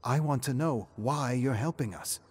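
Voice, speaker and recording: An insistent young woman investigator in an audiobook story, acted out by a man. The voice is lifted well upward in pitch, pressing and questioning, as if every answer has to be dragged out. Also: normal speed, husky, lightly acted. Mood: demanding. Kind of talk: audiobook character acting.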